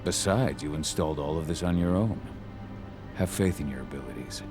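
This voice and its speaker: A battle-hardened werewolf father in a game cutscene. His voice is extremely deep and gravelly, calm and reassuring in delivery. Music plays clearly behind him. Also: a little fast. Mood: steady, reassuring. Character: gruff adult male werewolf warrior, steady protective father. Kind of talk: video game cutscene acting.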